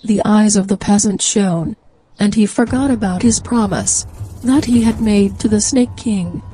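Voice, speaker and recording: A woman's voice: mid-range voice, a little slow, mellow, slight background noise, rough recording. A woman narrating a scripted fairy tale as a storyteller.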